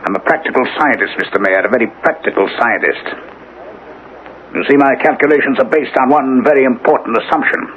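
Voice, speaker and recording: Male actor as cunning villain scientist, measured formal speech, taunting, old radio drama, dull noisy recording. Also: medium pitch, natural voice. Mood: smooth, self-assured. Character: cunning, menacing male criminal scientist and inventor. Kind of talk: 1980s radio drama acting.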